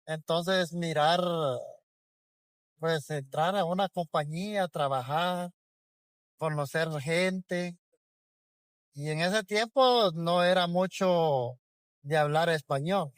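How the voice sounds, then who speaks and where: A man in his forties from El Salvador with a Salvadoran accent and a fairly high voice tells a personal story. His delivery is loose and conversational, and he restarts his sentences often. The recording is dry and close. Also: takes it slowly, pauses often, clear, resonant tone, no room sound. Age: 40s.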